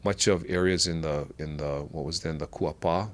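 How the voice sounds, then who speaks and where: A middle-aged man from Oahu with a Hawaiian accent, talking as a podcast guest in a halting way with many restarts. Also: rumbling low, fairly even intonation, a little hiss or hum. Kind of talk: casual conversational interview.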